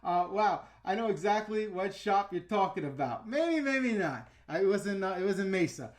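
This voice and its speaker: A man's voice: high-pitched, animated, moderate pace. A middle-aged man with a New York accent, hosting a casual livestream and answering chat in a blunt, ranting way. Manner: blunt, ranting, chatty with fillers. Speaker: YouTube host answering live chat.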